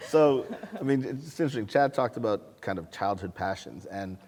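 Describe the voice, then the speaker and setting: A man from Canada telling a humorous story with animated energy on a panel. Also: fairly low pitch, normal speed. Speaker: science museum chief executive. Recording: long hall reverb.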